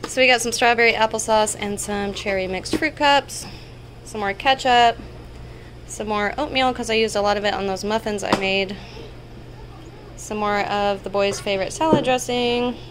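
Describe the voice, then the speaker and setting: A woman's voice: medium pitch, normal speed, noisy background. A woman in her thirties hosting a vlog, talking casually and conversationally as she lists things in a home kitchen. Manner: informal, conversational, listing items. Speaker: vlog host. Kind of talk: casual chatty grocery haul narration.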